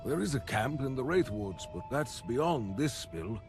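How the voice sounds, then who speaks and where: A man's voice: mid-range voice, very animated, unhurried. A man playing a wary village dweller from an ink world in a video game, cautioning in a theatrical way. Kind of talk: video game character acting. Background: game music.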